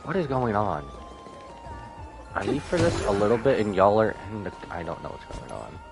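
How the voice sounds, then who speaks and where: A man giving casual live commentary as a game streamer, rambling and animated, with jokey asides. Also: lowish voice, normal speed, creaky, over music.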